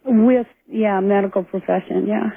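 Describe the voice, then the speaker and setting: A woman's voice: medium pitch, fairly even intonation, moderate pace, creaky, breathy edge, no echo. An American woman calling in to a radio show, chatting casually. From Montana, United States. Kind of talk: casual call-in chat. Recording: thin dry phone line on radio.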